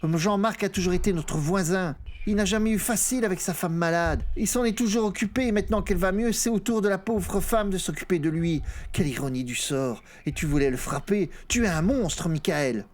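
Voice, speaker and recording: A man's voice: sits high, expressive, a little fast, few pauses, pitched above the performer's own voice. A man voicing a furious, morally outraged wife with an indignant, reproachful manner in an audiobook story.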